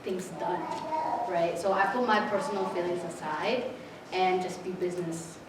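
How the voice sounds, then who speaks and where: A woman from southern Somalia makes her point emphatically in a group discussion, often checking in with the others. She is far from the mic in a resonant room. Clear background noise sits under her voice. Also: lowish voice, fairly even intonation, moderate pace. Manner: emphatic, keeps asking right?. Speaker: workshop participant. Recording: echoey room, from far off, noisy.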